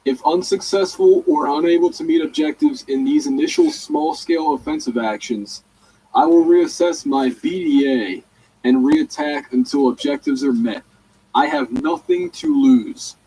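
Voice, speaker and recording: A man's voice: fairly high pitch, big pitch swings, moderate pace, creaky, mellow, breathy edge, low-quality recording. A man reading a written document aloud in the manner of an audiobook reader.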